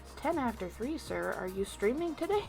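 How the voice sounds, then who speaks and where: A woman's voice: on the high side, big pitch swings, moderate pace, vocal fry, a little mellow. A woman livestreamer chatting casually to viewers in a rambling, self-deprecating way, with music behind her. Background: background music.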